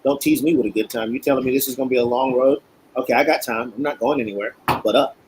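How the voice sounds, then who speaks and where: A man's voice: mid-range voice, fast talker, a little mellow, a little hiss or hum, low-quality recording. Straight-talking New man. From Queens, New York. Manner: straight-talking, emphatic, many restarts. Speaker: guest. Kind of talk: casual chat.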